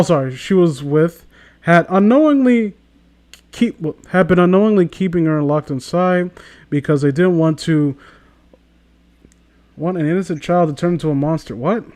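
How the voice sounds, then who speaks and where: Sarcastic, higher-voiced man riffing casually. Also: a little mellow. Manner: sarcastic, foul-mouthed. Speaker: host of a comedy reading channel. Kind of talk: casual riffing commentary while reading a story aloud.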